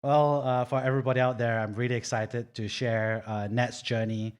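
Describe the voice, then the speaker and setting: A male podcast host with a mid-range voice talks in a loose, animated way. He fills his sentences with casual asides as he steers the conversation. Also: mid-range voice, moderate pace, clean tone. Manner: chatty, animated, many fillers like "you know". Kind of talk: casual interview hosting.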